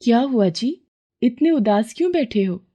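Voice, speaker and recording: A woman playing a practical village wife and mother who gently scolds in a matter-of-fact way in a moral story. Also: on the high side, animated, a little slow, dull audio. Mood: chiding.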